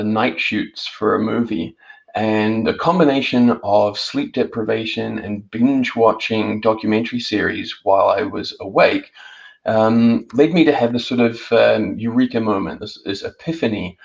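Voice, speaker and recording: A man with a New Zealand accent giving a reflective, halting personal account as a panel guest. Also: lowish voice, steady tone, vocal fry, muffled recording.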